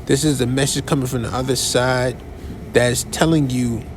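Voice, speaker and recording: A man hosting a podcast, giving casual commentary in a conversational, halting way with restarts and asides. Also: medium pitch, fairly even intonation, background noise. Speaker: podcast host.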